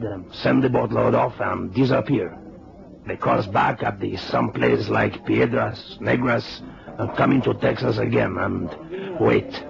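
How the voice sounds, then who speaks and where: An actor playing a weary, courteous border officer with a Mexican accent and formal, measured phrasing in an old radio drama. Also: male voice, mid-range voice, vocal fry, highs cut off. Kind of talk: 1970s radio drama acting.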